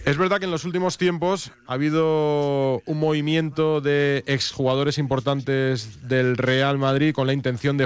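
A man with a Castilian Spanish accent giving a live sports news report in a measured, formal, explanatory way. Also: medium pitch, clear, resonant tone, no room sound.

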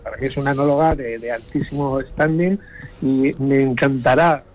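A man's voice: medium pitch, steady tone, normal speed, darkish tone, low-quality recording. A man giving wine commentary in an enthusiastic, rambling way, with many restarts. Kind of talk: casual radio chat.

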